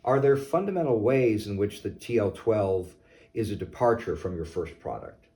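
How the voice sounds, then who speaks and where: A man's voice: on the low side, expressive, moderate pace, warm tone. A man asking formal, carefully worded questions as an interviewer, recorded slightly away from the microphone. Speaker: interviewer, audio journalist. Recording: slightly distant from the mic.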